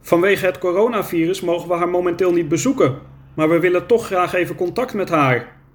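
A man's voice: sits high, fairly quick, pitched above the performer's own voice, natural voice. A man reading a storybook and voicing an elderly son worried about his mother. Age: older.

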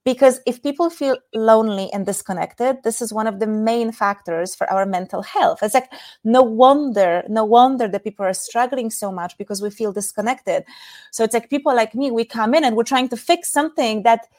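A woman's voice: fairly high pitch, moderate pace, a little mellow. Polish-accented woman explaining intimacy. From Poland. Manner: earnest, explanatory, guides listeners through exercises. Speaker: intimacy coach guest. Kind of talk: podcast interview.

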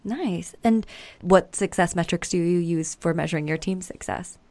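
A woman interviewer chatting casually and asking questions in an informal, slightly halting way. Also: fairly low pitch, darkish tone, no echo.

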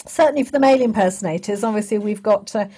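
A woman's voice: medium pitch, quick pace, warm tone, slightly rough. A woman, chatting casually as an interviewer. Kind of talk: casual conversation.

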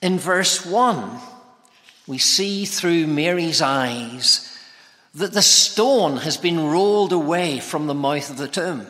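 A man delivers a sermon in a bright, forceful voice pitched a little high. He phrases things deliberately and with emphasis, and his words ring with the long reverb of a hall. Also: animated. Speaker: preacher. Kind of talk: expository sermon. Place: large reverberant church or hall.

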